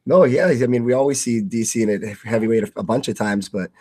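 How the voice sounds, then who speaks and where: A man with a husky, frayed voice chats casually as a fight co-host. His pitch is average and the sound is very dry and close. He talks tentatively, often turning his remarks into questions. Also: a little fast, warm tone, no room sound. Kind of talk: casual chat.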